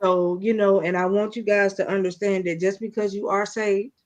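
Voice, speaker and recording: A woman's voice: medium pitch, fairly even intonation, normal speed, a little mellow. Woman host, preachy motivational delivery. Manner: preachy, repeats phrases, checks in with listeners. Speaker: radio show host. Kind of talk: faith-based motivational talk.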